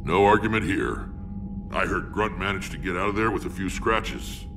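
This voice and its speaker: A gruff alien warrior with a deep, gravelly voice. He talks bluntly, like a hardened veteran. Music plays behind him. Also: moderate pace. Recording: game audio with music bed.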